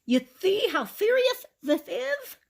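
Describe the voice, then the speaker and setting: A fussy, distressed old grandfather from a children's storybook, acted out by a woman. The voice is pushed very high and swoops around. The words come out slow and mumbly, as if he has no teeth. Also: sing-song, vocal fry, exaggerated voice. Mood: wailing. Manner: drawn-out, mumbling, toothless-sounding speech. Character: distraught old grandfather who lost his false teeth.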